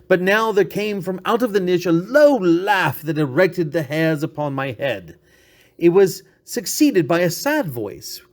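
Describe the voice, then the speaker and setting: Guy doing a dramatic gothic horror reading, higher-ish voice, really animated intonation. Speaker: narrator.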